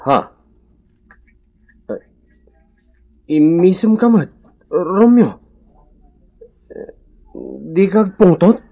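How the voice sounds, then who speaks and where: An excitable, husky village dad. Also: male voice, high voice, drawn-out words, pauses often, exaggerated voice. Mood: surprised, cheerful. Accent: Ilocano. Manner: exclamatory, expressive. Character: excitable, apologetic village father and good-natured neighbour. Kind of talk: Ilocano radio comedy-drama acting.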